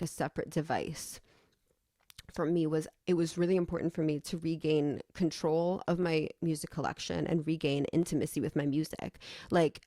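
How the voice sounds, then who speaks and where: A woman's voice: deep voice, a little mellow, no echo, studio quality. A young adult woman vlogging in a casual, rambling way, opinionated and emphatic, with some swearing.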